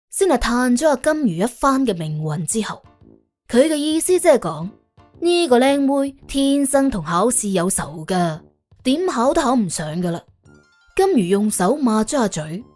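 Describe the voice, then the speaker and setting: A woman narrating an audiobook in colloquial Cantonese, telling the story in an expressive, wry way. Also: on the high side. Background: faint background music.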